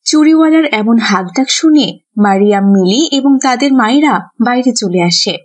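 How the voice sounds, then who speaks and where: A woman's voice: fairly high pitch, lively intonation, fairly quick. A woman reading scripted narration for a children's cartoon story.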